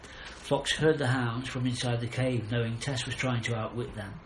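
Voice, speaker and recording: A man's voice: mid-range voice, steady tone, normal speed. A man narrating a children's audiobook with dramatic storytelling, recorded in a roomy space. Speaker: author narrating own audiobook. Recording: roomy, faint background noise.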